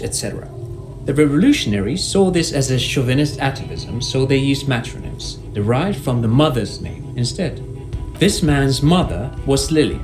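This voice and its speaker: A man's voice: mid-range voice, close to an everyday voice. Game casting: man to play scholarly, enthusiastic police officer, swooping delivery, explains everything with relish. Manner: lecturing, enthusiastic, precise. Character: erudite, eager male police officer who loves explaining trivia. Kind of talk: video game voice acting.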